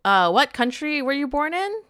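A woman's voice: high voice, very animated, moderate pace, fairly bright tone. A young woman hosting a podcast, chatting casually and laughing often, with the odd swear word. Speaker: podcast host. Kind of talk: casual interview chat.

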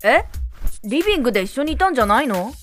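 A woman's voice: sits high, big pitch swings, quick pace. A woman playing a practical, assertive mother in an amateur family radio drama, talking in a casual, matter-of-fact way. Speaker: actor in a family audio drama. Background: background music.

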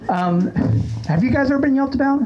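A man's voice: very high voice, lively intonation, a little fast, a crowd in the background. A man hosting a podcast with casual comedic chat and anecdotes in a joking, self-mocking manner. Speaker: podcast host. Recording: slightly dull, lo-fi sound.

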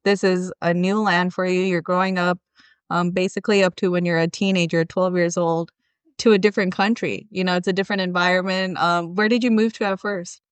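A man's voice: high voice, level tone, fairly quick, clean tone, dry recording. A man hosting a podcast, asking loose, encouraging questions in casual chat. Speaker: podcast host. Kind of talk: casual interview.

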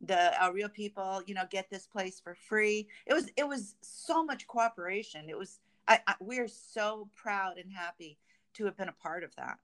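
A woman with a clear, smooth voice at a middling pitch. She talks as an interview guest from a company, conversational and keen. She often pauses and restarts as she looks for the right word. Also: a bit bright. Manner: halting, searching for words. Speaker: guest, construction company representative. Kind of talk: conversational interview answers about company projects.

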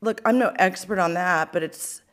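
A woman's voice: lowish voice, moderate pace, a bit bright. A woman co-hosting a casual advice show, talking in a blunt, emphatic, exclamatory way with strong opinions.

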